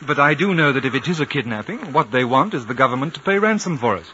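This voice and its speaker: British diplomat-adventurer, calm, courteous, mid-pitched, crisp forward tone, old radio drama. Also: male voice, fast. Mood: composed. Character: composed, courteous British consul, adventurer recalling his journey. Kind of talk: 1950s radio drama acting and narration. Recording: noisy, muffled old radio broadcast.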